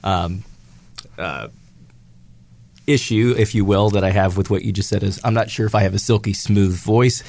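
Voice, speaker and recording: A man with a cold, a news anchor guesting on a studio show, talking in a measured, hesitant way with many fillers. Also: lowish voice, fairly even intonation, gravelly, darkish tone, no echo. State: has a cold. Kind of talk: studio interview. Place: radio studio. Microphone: studio mic.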